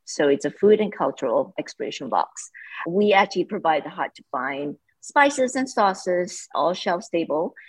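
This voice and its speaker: A woman's voice: on the low side, husky, no room sound, rough recording. A woman from Malaysia, talking earnestly as a guest founder in a casual interview. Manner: earnest, animated, slightly non-native English grammar.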